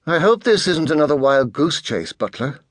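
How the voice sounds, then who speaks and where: Man voicing a soft, clipped, haughty teenage boy mastermind. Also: on the high side, sing-song, normal speed, no room sound, studio quality, pitched above the performer's own voice. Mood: haughty, testy. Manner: clipped, precise, formal speech. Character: pale adolescent boy mastermind, testy, haughty, authoritative.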